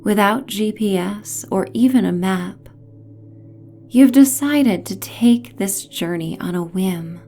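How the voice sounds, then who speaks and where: A woman narrating a guided sleep story in a soothing, gentle, hypnotic manner, with phrases trailing off into breathy near-whispers. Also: mid-range voice, slow pace, a little hiss or hum. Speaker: narrator and host of sleep stories.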